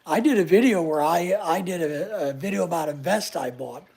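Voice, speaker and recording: A middle-aged man with a high voice often taken for a woman's, chatting casually and joking at his own expense while reading viewer comments. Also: normal speed, vocal fry, darkish tone, husky. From United States. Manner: chatty, joking, self-mocking. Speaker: channel host reading live chat. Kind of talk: casual livestream chat.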